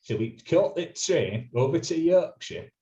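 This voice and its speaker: Young aussie guy telling a story, warm mellow voice, kinda muffled lo-fi audio. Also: on the high side, expressive. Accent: Australian. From Australia. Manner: quick anecdotal storyteller, chatty. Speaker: radio presenter, guest. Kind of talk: casual storytelling interview.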